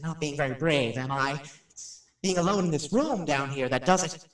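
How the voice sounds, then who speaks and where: A man's voice: on the high side, expressive, moderate pace, a bit bright. A man playing a chatty, nervous radio personality who addresses his listeners in a folksy way in a radio play. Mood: jumpy, nervous. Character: jumpy, chatty radio showman touring a haunted house. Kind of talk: radio drama acting. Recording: roomy, slightly distant microphone.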